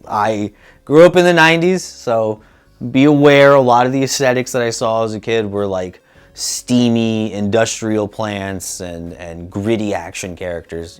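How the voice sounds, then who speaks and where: A man in his thirties co-hosting a casual movie chat, speaking in a playful, emphatic, conversational way. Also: fairly low pitch. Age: 30s. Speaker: co-host. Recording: music playing behind. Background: background music.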